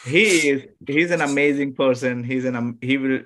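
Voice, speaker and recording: A man's voice: mid-range voice, a bit bright. Sri lankan-born guy on a podcast, mid voice, stops and restarts a lot, then pushes hard on words. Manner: halting, many restarts, emphatic bursts. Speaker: podcast guest, former contestant. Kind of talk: casual chat.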